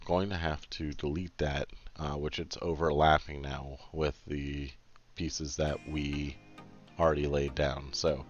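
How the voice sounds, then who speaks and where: A man giving casual, halting commentary over footage of a building game, with frequent filler pauses. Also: a bass voice, dull audio. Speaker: video creator narrating gameplay.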